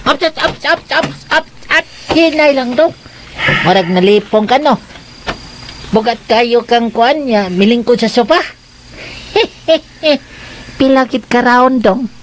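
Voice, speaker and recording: A woman's voice: a little high, expressive, slow pace, pauses often. A woman playing a joking, deferential, jittery friend in a radio drama, stammering and repeating words. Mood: teasing. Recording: faint noise behind the voice.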